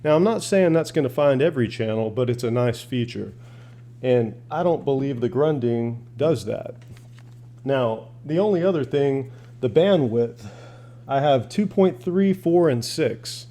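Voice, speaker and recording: A man's voice: mid-range voice, animated, unhurried, dark tone. Folksy man, casual demo. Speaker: hobbyist radio reviewer. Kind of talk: casual product demonstration.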